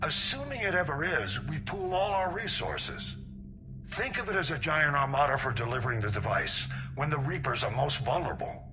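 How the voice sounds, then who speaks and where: A man's voice: on the high side, lively intonation, normal speed, noisy background, natural voice. An actor playing a resolute senior male military admiral in a video game, direct and commanding in his delivery. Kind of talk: video game character dialogue.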